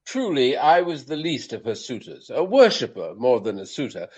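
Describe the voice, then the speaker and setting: An actor playing a vain, elderly Regency stage actor with a pompous, florid, courtly manner in a radio play. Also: male voice, mid-range voice, very animated, moderate pace, a little mellow, slightly rough, dull audio. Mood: wistful, gallant.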